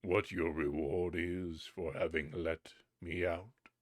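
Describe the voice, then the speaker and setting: A man voicing a huge, terrible male spirit who speaks in a booming, menacing way. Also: fairly even intonation, takes it slowly, no echo, clean studio sound.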